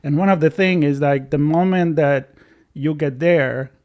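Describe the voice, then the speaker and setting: A man's voice: a little high, measured pace, darkish tone. A man with an Italian accent co-hosting a casual interview, musing and joking with hesitant restarts. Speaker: podcast co-host.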